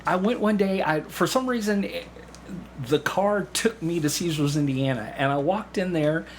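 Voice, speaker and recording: A man telling personal anecdotes in casual conversation, haltingly, repeating phrases and acting out little asides. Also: fairly high pitch. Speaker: podcast guest, amateur actor. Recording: clearly audible background room noise.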